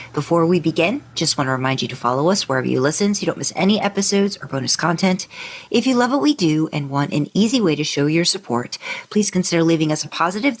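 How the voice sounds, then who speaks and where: A woman co-hosting a podcast, chatting casually and informally as she presents and interviews. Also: low voice.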